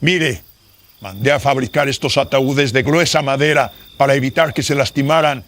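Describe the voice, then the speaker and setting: A man's voice: mid-range voice, moderate pace, background noise, pitched above the performer's own voice, close to an everyday voice. A man playing a grim, secretive father in a horror radio drama, solemn and theatrical. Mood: grave. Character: grim, secretive father guarding a terrible house.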